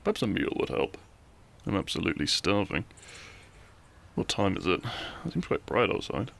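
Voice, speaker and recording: Man playing a low, gravelly lovestruck bandit. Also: sing-song, moderate pace, full character voice. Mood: wistful, downcast. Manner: soft, conversational, with sighs and chuckles. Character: lovestruck, cheeky young male bandit outlaw, tender and self-deprecating. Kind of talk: intimate romantic audio roleplay.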